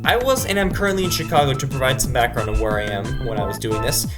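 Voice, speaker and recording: A man's voice: medium pitch, quick pace. A man narrating his vlog in scripted voice-over, wry and self-deprecating. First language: English. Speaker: vlogger narrator. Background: background music.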